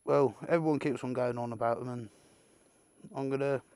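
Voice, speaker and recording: A man's voice: mid-range voice, fairly even intonation, moderate pace, darkish tone, no room sound. A British man giving a casual, unscripted product unboxing, rambling and muttering asides to himself with the odd mild swear. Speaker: amateur video creator presenting an unboxing.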